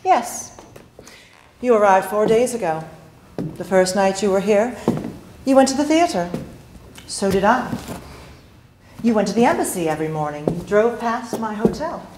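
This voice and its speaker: A woman's voice: medium pitch, animated, moderate pace, pauses often, natural voice. A woman playing a mysterious, flirtatious lady in a period stage play, coaxing and teasing with theatrical delivery. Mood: confiding. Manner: coy, teasing, dramatic delivery. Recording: echoey stage hall, hissy background noise.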